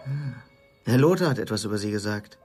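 A man's voice: on the low side, animated, normal speed, darkish tone, faint music behind, lightly acted. A man acting in a radio drama as a casual friend passing on rumours in an offhand, conversational way.

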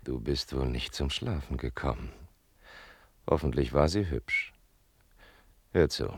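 A genial older wheelwright in a radio drama. The voice is rumbling deep and raspy, easygoing and slow to fuss, recorded very dry. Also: male voice, no echo, exaggerated voice. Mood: genial, knowing. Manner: unhurried, avuncular. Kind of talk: German radio play acting.

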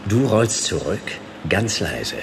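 A man's voice: on the low side, very animated, slow pace, creaky, background noise, full character voice. A man playing a wry, hard-boiled detective who narrates in terse, ironic sentences in a sci-fi radio drama. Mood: watchful, dry.

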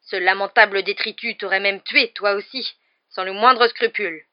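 A woman's voice: medium pitch, steady tone, normal speed, highs cut off, lightly acted. Woman playing a furious, roaring vengeful man. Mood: bitter fury. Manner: growling, forceful, loud.

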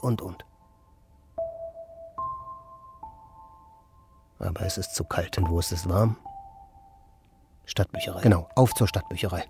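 An actor playing an aimless city drifter in a radio play, talking and musing in short, dry phrases. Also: male voice, on the low side, close to an everyday voice. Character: aimless, plain-spoken male city drifter. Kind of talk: radio play dialogue and first-person narration.

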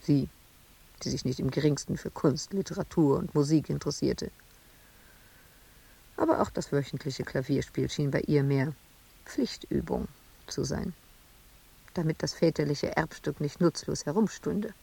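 A woman's voice: sits low, normal speed, vocal fry, pauses often, dark tone, slightly rough, full character voice. An actress playing an embittered, intense woman sculptor confined in an asylum, in a radio drama monologue. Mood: brooding, bitter.

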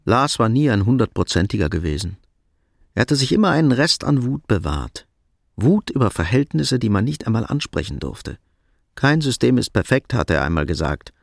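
A male narrator of a radio drama with a mid-pitched voice. His delivery is sober and measured, recorded very dry and close to the ear. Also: sing-song, gravelly, dry recording.

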